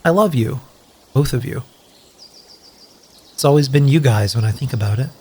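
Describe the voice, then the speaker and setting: An actor playing a sincere, joking wasteland survivor in an audio drama, speaking earnestly and hesitantly with wry, profane humour. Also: male voice, mid-range voice, normal speed, warm tone, slight background noise, natural voice. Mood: tender. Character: sincere, grateful, joking male wasteland survivor, loyal friend. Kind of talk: audio drama dialogue, naturalistic.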